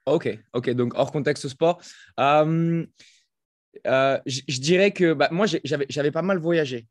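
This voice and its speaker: A man's voice: fairly high pitch, a little slow, dry recording. French man, thirties, dry close sound. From France. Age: 30s. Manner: hesitant, many fillers and restarts. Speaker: guest, fitness coach. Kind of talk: casual interview talk. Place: home in Florida, over a call.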